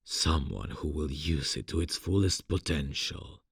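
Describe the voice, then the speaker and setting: A seductive, possessive male devil for ASMR fantasy audio. The voice is extremely low and thick with gravel, unfolding at a slow, deliberate pace. He coaxes with formal courtesy, close on the mic. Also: no echo, heavily acted. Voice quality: very deep, gravelly, husky. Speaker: voice actor. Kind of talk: ASMR fantasy roleplay acting. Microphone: close studio mic, dry.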